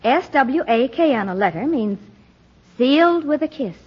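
A young American niece in 1940s radio comedy, wry and teasing. Her high voice moves in lively swoops over a noisy old recording. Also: normal speed, highs cut off.

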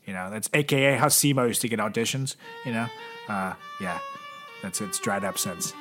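A man's voice: on the low side, moderate pace, music in the background. A man co-hosting a comedy podcast, teasing his partner and riffing on absurd jokes. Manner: teasing, riffs with absurd bits. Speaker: podcast co-host. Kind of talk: casual comedic chat.

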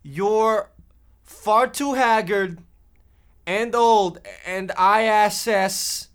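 A man playing a naive, blunt boy with halting, stilted delivery in a comic radio play. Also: high-pitched, fairly bright tone, smooth, exaggerated voice. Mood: deadpan.

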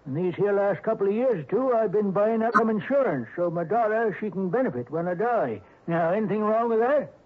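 Through a narrow, muffled old radio recording comes a crotchety old man with a high-pitched voice. He talks in a folksy country way, stubborn and defensive, in the manner of 1960s radio drama. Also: lively intonation, a little fast, few pauses. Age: older. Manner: folksy rural speech, querulous. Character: crotchety old retired railroad flagman, evasive, folksy, defensive.

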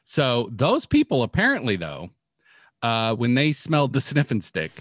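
A man co-hosting a podcast, sharing a news story in casual chat with playful deadpan jokes. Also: medium pitch, normal speed, dry recording, clean studio sound. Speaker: podcast co-host.

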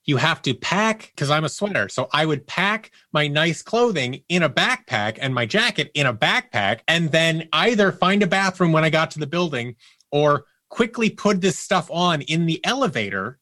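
A man's voice: fairly high pitch, sing-song, moderate pace, pushed and bright, dry recording, clean studio sound. A man speaking as a podcast guest, telling personal anecdotes in a candid, casual way. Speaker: podcast guest, audio producer.

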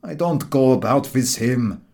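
A man's voice: mid-range voice, lively intonation, unhurried, a little mellow. A man voicing an exasperated traveller in an audiobook, complaining in an aggrieved, contemptuous way. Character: exasperated weary traveller saddled with a stray dog. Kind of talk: audiobook dialogue.

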